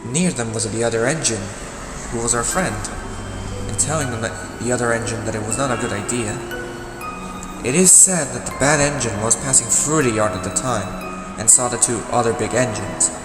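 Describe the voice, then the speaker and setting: A male narrator tells a dark children's tale in a mid-pitched voice, pausing deliberately for drama. His voice rings with long reverb, and music plays loudly behind him. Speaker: storyteller, narrator. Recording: echoey, reverberant hall sound. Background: loud music.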